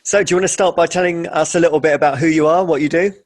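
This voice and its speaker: A man's voice: a little high, fairly even intonation, rapid-fire. A man hosting a podcast, introducing the show and putting questions to a guest. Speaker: podcast host, interviewer.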